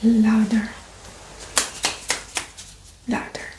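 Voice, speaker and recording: ASMR patient, female. Soft clipped replies, very unhurried, lilting pitch; a bit off-mic. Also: monotone. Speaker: patient in an ASMR medical exam roleplay. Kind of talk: soft one-word ASMR answers. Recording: roomy, distant mic, faint noise.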